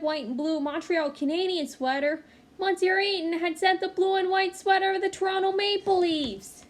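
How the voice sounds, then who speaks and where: A woman reading a children's picture book aloud in an expressive, engaged way, with small asides to her listeners. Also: sits high. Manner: expressive, pausing to comment to young listeners. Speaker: read-aloud storyteller. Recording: clearly noisy home recording.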